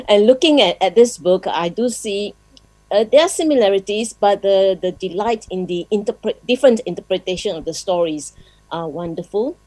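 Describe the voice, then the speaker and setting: A woman with a Malaysian accent giving an informal speech as a publisher, conversational and pausing as she goes. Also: medium pitch, expressive, mellow, dry recording, poor audio. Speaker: publisher and guest speaker.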